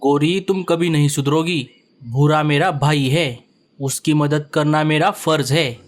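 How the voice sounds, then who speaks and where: A man voicing a kind, steady male bird who is the elder brother in a children's cartoon, calm and reasonable. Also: on the high side, measured pace, natural voice.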